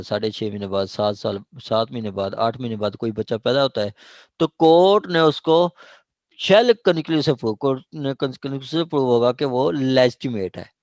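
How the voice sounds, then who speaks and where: A man's voice: mid-range voice, a little mellow, no room sound. A man with a Pakistani accent lecturing on law, reading out statutes and explaining them while switching between two languages. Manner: explanatory, code-switching between Urdu and English, with frequent check phrases.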